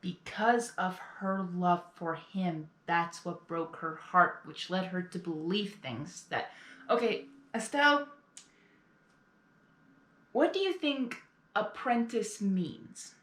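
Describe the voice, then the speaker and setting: A woman's voice: on the low side, slow, fairly bright tone. A woman giving casual reaction commentary, explaining things in an emphatic, exasperated way. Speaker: reaction video host. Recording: echoey room.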